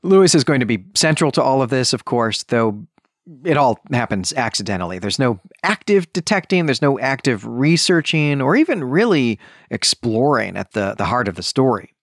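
A man's voice: medium pitch, sing-song, normal speed, no room sound, studio quality. A man hosting a podcast, explaining a book in a conversational, emphatic way. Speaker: podcast host. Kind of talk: conversational book recap commentary.

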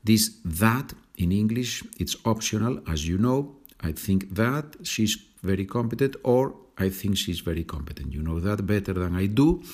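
A man from Spain with a Spanish accent, teaching a language lesson, patient and clearly enunciating, repeating phrases. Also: lowish voice, expressive, moderate pace, hardly any pauses, a little mellow. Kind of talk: slow, repetitive language lesson.

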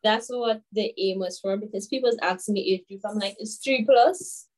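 A woman in her twenties from Grenada, a guest telling stories in a casual interview, chatty and animated with many fillers. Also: medium pitch, normal speed, rough recording. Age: 20s. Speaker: guest, children's author.

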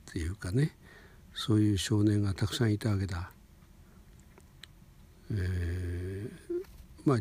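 An elderly man in his eighties from Hokuriku, Japan, recounting personal memories in an unscripted, halting, meandering way with a husky, gravelly voice. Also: fairly low pitch. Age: 80s. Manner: halting, meandering, with sentence-final softeners.

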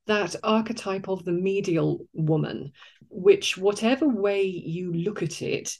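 A woman's voice: mid-range voice, darkish tone. A mature woman in thoughtful podcast conversation, explaining ideas articulately and with emphasis, as an author discussing her work.

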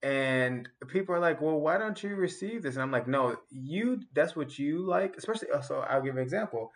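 A man's voice: on the high side, expressive, a little fast. A man co-hosting a casual podcast chat, reflective and rambling, often restarting his sentences.

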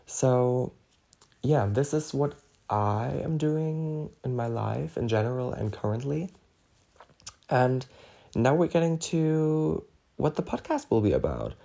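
A man's voice: on the low side, creaky, mellow. A man with a German accent, whose first language is German, hosting a casual solo podcast in a rambling way, with lots of "so, yeah" and "like". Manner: rambling, frequent fillers like "so, yeah" and "like". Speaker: podcast host.

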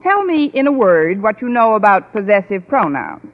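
An actress playing a wry, articulate English teacher with precise diction, in old-time radio comedy style. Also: female voice, medium pitch, sing-song, normal speed, heavy background noise. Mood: patient, lecturing. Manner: crisp schoolmarm diction, dry wit. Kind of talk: 1940s-50s radio sitcom acting. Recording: muffled old radio recording.

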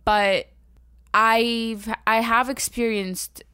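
A woman's voice: fairly high pitch, fairly even intonation, drawn-out words, sharp tone, clean tone, no room sound. Young woman podcast co-host, playful and talkative, dry close recording. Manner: teasing, laughs often, talkative. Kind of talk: casual chat.

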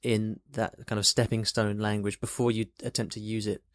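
A man's voice: lowish voice, level tone, moderate pace, dry recording, clean studio sound. A man hosting a podcast interview, recapping a listener question and asking friendly follow-ups. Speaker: podcast host.

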